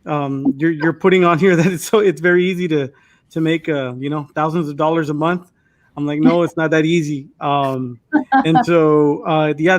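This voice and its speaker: A man talking casually as a panelist, halting as he goes and dropping in short quoted remarks. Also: a little high. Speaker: children's author, English teacher, panelist. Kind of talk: casual chat.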